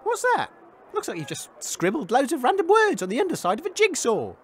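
An actor playing an exasperated radio presenter in a comedy radio play, with a halting, awkward delivery. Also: male voice, very high voice, huge pitch swings, fairly bright tone, full character voice. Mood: sceptical. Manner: halting, awkward, put-upon.

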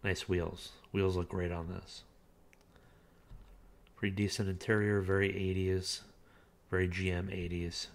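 A man with a low, deep voice chats his way through a car listing, relaxed and unscripted. He reads bits of the listing aloud and drops in plenty of filler words as he goes. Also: measured pace, gravelly, pauses often. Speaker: car auction reviewer. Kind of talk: casual screen-recorded commentary.

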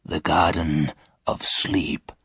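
A man voicing a lonely, sorrowful old ghost in a storybook, weary and solemn, with a husky voice. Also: rumbling low, steady tone, fairly bright tone, no echo, clean studio sound, pitched below the performer's own voice, heavily acted. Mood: wistful, hushed. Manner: slow, weary, solemn delivery.

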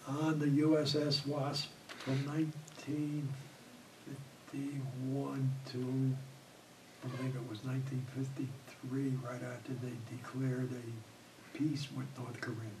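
A man's voice: medium pitch, flat delivery, takes it slowly. Elderly man recalling Navy days. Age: older. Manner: rambling, chuckling storyteller. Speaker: Navy veteran interviewee. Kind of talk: oral history recollection. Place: indoor studio before green backdrop. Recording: distant mic, audible room noise.

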